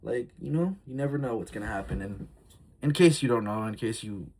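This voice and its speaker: A man's voice: medium pitch, lively intonation, brisk, darkish tone, husky, rough recording. A man of twenty-one talking to his phone camera in a candid, rambling vlog, reflective and heartfelt, with frequent fillers. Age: early 20s. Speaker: vlogger. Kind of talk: casual confessional vlog.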